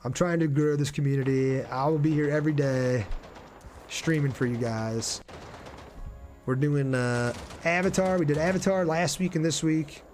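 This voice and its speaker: A man's voice: fairly high pitch, steady tone, moderate pace, a little mellow, a little hiss or hum. A man with an American accent talks casually and conversationally to viewers while livestreaming a video game. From Ohio, United States. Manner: conversational, addresses viewers directly. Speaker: live streamer playing a video game. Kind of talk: casual gameplay livestream chat.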